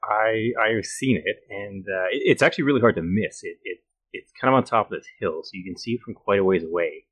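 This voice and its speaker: A man's voice: fairly low pitch, brisk, few pauses, a bit bright, breathy edge, low-quality recording. A man co-hosting a conversational podcast, with an understated, dry manner. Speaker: podcast co-host.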